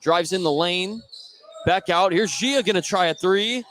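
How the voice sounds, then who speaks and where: A man's voice: high voice, measured pace, fairly bright tone. A man with an American accent giving live play-by-play commentary as a basketball announcer. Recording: clear gym noise, bouncing basketballs behind. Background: basketball game in a gym.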